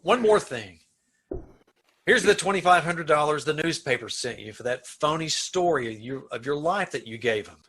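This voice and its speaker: A man acts out an earnest, decent prison warden in an old-time radio play. His voice sits slightly high for a man and is bright and forceful. He speaks with deliberate emphasis, as if appealing to a condemned prisoner. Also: animated, breathy edge. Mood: baffled. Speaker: amateur radio-troupe actor.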